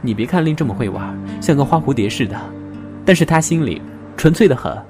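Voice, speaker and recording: A male actor plays a guarded young man in a modern audio drama. His pitch is mid-range but moves a lot, earnest and gently firm, with soft music underneath. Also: lively intonation, a little fast, lightly acted. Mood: agitated, insistent.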